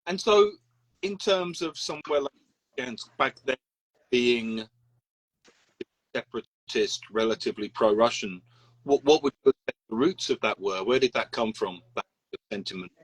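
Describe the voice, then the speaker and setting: A man's voice: mid-range voice, expressive, normal speed, no echo, rough recording. A man hosting a casual interview, asking curious questions and helping the guest find words. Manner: curious, prompting, supplies words. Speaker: interviewer host.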